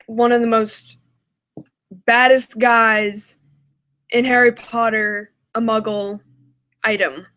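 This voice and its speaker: A woman hosts a casual reaction video. Her voice sits a little high and she talks slowly, hesitating often in a self-deprecating, off-the-cuff way. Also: steady tone, a bit bright.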